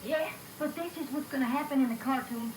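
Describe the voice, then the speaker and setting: A confident young animator played by a woman pleads earnestly in a high voice during an audio story. The recording is echoey, with clear tape noise. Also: fairly quick, distant mic, natural voice. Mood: eager. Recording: echoey, noisy reel-to-reel tape.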